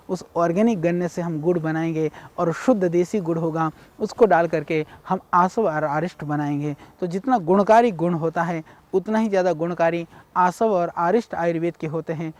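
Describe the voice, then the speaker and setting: A man from India giving a measured, explanatory health talk on ayurveda, speaking directly to viewers. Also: high-pitched, darkish tone. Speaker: ayurveda teacher.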